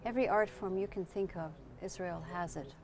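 A woman's voice: fairly low pitch, a little fast, darkish tone, slight background noise. A woman in her fifties, born in Poland and raised in Canada, chatting as an interview guest outdoors at night on a lapel mic, friendly and full of restarts. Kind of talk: casual chat.

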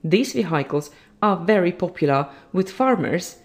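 A woman reading scripted documentary narration as a voice-over in an even, read-aloud way. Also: fairly low pitch, normal speed. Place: voice-over with background music.

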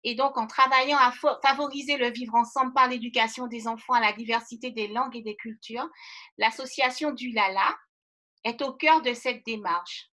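A middle-aged woman on a video call from a room by a window, giving a formal prepared speech in measured, rhetorical phrasing. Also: a little high, steady tone, sharp tone. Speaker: guest speaker. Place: indoor room by a bright window. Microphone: webcam on a video call.

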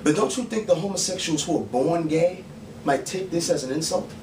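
A man, performing dramatic spoken word poetry on stage in a theatrical, pointed manner with deliberate pauses. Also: a little high, darkish tone. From the Bronx, New York, USA. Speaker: spoken word poet and actor. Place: small dim bar stage, indoors. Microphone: far camera mic, stage mic unused beside him. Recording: roomy, distant camera mic with background noise.

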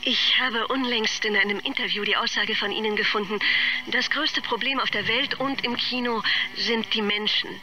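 Film journalist: composed, probing, very sharp bright voice, slightly distant. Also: female voice, mid-range voice. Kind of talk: acted radio drama interview. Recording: distant, faintly noisy interview.

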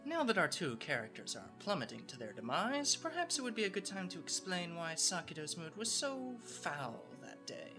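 A woman's voice: fairly low pitch, big pitch swings, normal speed, few pauses. A woman performing scripted storybook narration of a comic adventure in a motion comic radio play. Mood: measured. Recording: music behind the voice.

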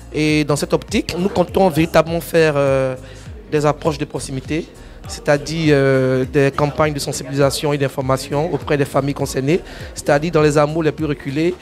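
A man speaks into a handheld mic in a conference room. He chooses his words formally and pauses often as he explains. His voice sits somewhat high for a man, and faint music plays underneath. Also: darkish tone. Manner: deliberate, formal, frequent "euh" pauses. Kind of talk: interview answer.

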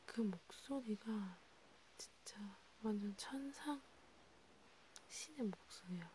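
A woman speaking in a hushed, rambling ASMR style, half whispering, as if chatting one-to-one with a listener. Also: medium pitch, slow, slight background noise. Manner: hushed, rambling, intimate one-sided conversation. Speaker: ASMR creator. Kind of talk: soft ASMR roleplay chat, partly whispered.

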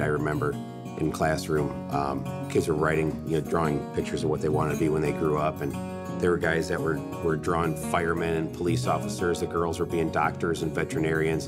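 A very low, level-voiced Midwestern American man recounting his roots in a brand documentary, guitar playing prominently underneath. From Southwest Michigan, USA. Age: late 30s to early 40s. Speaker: company founder. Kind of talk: reflective documentary interview. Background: guitar music.

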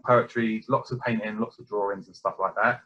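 Easygoing man on a video call, bright and husky, dull lo-fi call audio. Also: lowish voice, steady tone, brisk. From England. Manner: easygoing, repeats himself. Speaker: podcast guest. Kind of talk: casual video call chat.

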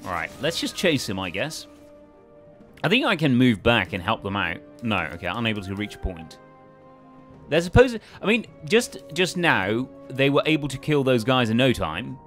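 A man's voice: medium pitch, very animated, normal speed, fairly bright tone, faint music behind. A man casually thinking aloud as he commentates a video game. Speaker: let's play host.

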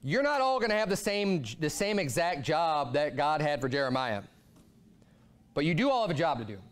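Animated high-voiced preacher man. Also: normal speed, clear, resonant tone. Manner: animated preaching, voice rising and falling a lot. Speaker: pastor. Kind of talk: sermon. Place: church sanctuary, speaking at a pulpit.

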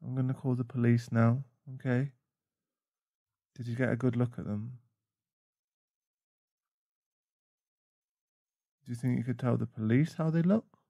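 A man's voice: mid-range voice, fairly even intonation, a little fast, gravelly, warm tone, dry recording. A man playing a protective, tender werewolf boyfriend in an ASMR roleplay, speaking in a soothing, reassuring manner. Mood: calm, reassuring.

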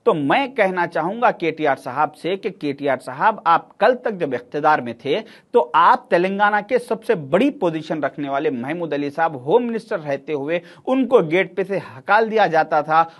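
A middle-aged man, giving sarcastic, emphatic political commentary to camera in a studio. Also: a little high.

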